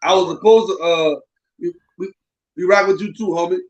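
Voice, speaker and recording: A man's voice: high-pitched, moderate pace, lo-fi sound. A man co-hosting a podcast with a stuffed-up nose from a cold, talking casually and animatedly, often repeating himself. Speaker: podcast co-host. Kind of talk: casual chat.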